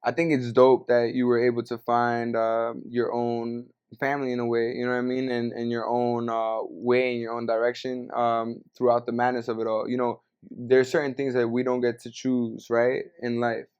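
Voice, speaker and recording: Smooth-voiced young podcast host. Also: male voice, mid-range voice, monotone, normal speed, a little mellow, highs cut off. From Venezuela. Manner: laid-back, slangy, thinks aloud with fillers. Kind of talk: casual chat.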